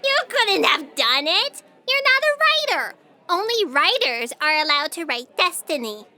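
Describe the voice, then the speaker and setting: A woman playing a dramatic, vain and flirtatious female writer in a sci-fi audio comedy, theatrical and easily flattered. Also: extremely high pitch, unhurried, heavy background noise, full character voice. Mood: haughty.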